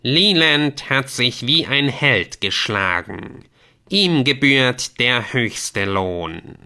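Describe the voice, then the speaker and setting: Man performing a slow, menacing hooded messenger. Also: medium pitch, animated, bright, forceful tone, voice pushed up, exaggerated voice. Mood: solemn proclamation. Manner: slow, commanding, portentous. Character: menacing hooded yellow-eyed messenger of a dark game world. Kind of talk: dramatic audiobook character voice.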